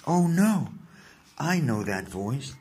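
A man reading a children's story aloud in the first person, with deliberate, dramatic phrasing. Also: medium pitch, big pitch swings, a little mellow, husky. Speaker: story reader.

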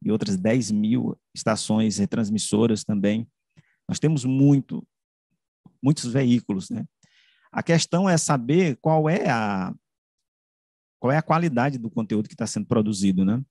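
A man's voice: medium pitch, measured pace, dark tone, no room sound, clean studio sound. A middle-aged man gives a conversational academic presentation over a video call, often tagging his phrases with little checking questions. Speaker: researcher and author giving a presentation. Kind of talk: academic slide presentation, explanatory talk. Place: indoor room, on a video call. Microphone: computer mic on a video call.

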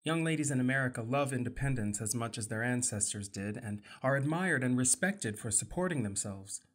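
Man voicing a polite young tutor, formal, soft-spoken, radio play. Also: medium pitch, expressive, fairly quick, lightly acted. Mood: gentle. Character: earnest young male tutor, Mister Brooke. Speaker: actor.

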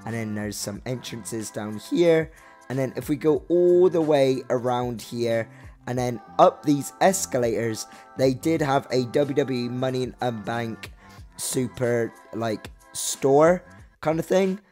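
A young man with a slightly croaky, mellow voice chats his way through a game walkthrough. He rambles loosely, piling on "like" and "so". Faint music plays softly underneath. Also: medium pitch, measured pace. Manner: chatty, rambling, lots of "like" and "so". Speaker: Minecraft builder showing his map. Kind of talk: casual walkthrough narration.

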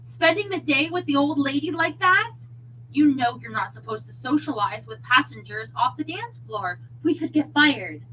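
A woman voicing an emotional, jealous girlfriend who is quick to huff and plead, in an audiobook story. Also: high-pitched, big pitch swings, bright, forceful tone, a little hiss or hum, pitched above the performer's own voice, full character voice, rough recording. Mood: jealous, scolding. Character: jealous, huffy young woman, anxious lover.

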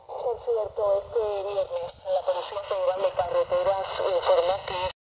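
A man's voice: high-pitched, little pitch movement, moderate pace. A man reading scripted news as a radio newsreader. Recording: muffled shortwave radio with loud static.

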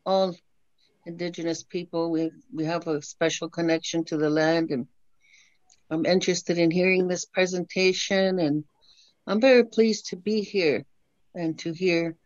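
An older woman whose first language is Dakota, an elder opening a gathering with a slow, gentle blessing and teaching, soft-spoken with many pauses, speaking from home on a webcam. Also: lowish voice, steady tone, dry recording. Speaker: elder opening a meeting with prayer. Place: home room, indoors. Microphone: laptop webcam on video call. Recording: dull, dry video-call audio.

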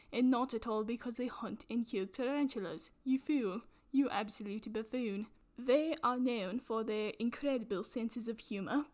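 A woman putting on a prim British nature documentary narrator voice, hosting a parody nature vlog with pompous, mock-solemn deadpan. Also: a little high, close to an everyday voice. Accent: put-on British. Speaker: host.

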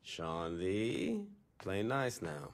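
Casting: cocky, blunt male gang boss for a game; low voice, lively intonation. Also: drawn-out words, gravelly, heavily acted. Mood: reassuring. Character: tough, blunt, foul-mouthed male street gang boss.

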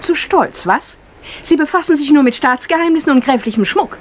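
An actress playing a bossy, self-important middle-class housewife with a forthright manner in a German radio crime drama. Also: female voice, sits high, lively intonation, fairly quick, a little hiss or hum. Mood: indignant.

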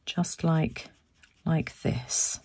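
A woman with a croaky voice talking through a craft demonstration at her table, thinking aloud as she works and often repeating words. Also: deep voice, extremely slow, darkish tone, no room sound. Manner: chatty, thinking aloud with restarts. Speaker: craft video host. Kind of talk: casual craft tutorial narration. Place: home craft room, tabletop.

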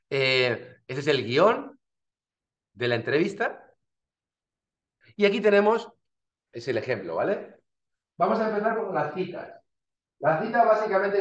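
A man's voice: a little high, fairly bright tone. A male university lecturer, explaining slides informally with everyday examples and frequent restarts. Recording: dull, roomy lecture recording.

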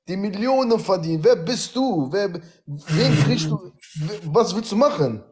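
A man calling into a livestream, taunting the host loudly with provocative questions. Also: high voice, lively intonation, measured pace. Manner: mocking and provocative, loud. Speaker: caller.

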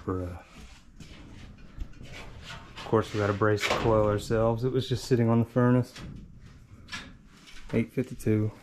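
A man's voice: lowish voice, steady tone, a little slow, long pauses. A man in his twenties with an American accent, giving offhand, slangy commentary while working, on a noisy handheld camera. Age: 20s. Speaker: HVAC installer vlogging. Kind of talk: casual on-the-job commentary. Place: indoor basement job site.

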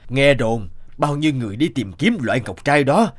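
Grave, knowing older connoisseur. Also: male voice, medium pitch, sing-song. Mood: cautioning. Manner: measured, admonishing, explanatory. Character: stout middle-aged connoisseur, grave, knowing and cautionary. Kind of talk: animated fable voice acting.

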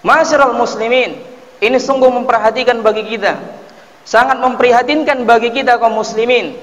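A man's voice: very high voice, steady tone, moderate pace, fairly bright tone, slight background noise. A man, delivering a sermon as a mosque preacher in formal, exhorting oratory. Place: tiled mosque interior, at the pulpit. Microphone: mounted microphone at the pulpit. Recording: echoey hall, distant amplified voice.

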